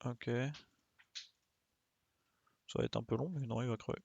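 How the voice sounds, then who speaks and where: A man's voice: medium pitch, moderate pace, gravelly, a little mellow, husky, dry recording, highs cut off. A man doing casual live game commentary, thinking aloud in informal slang and exclaiming as he plays. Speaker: game streamer.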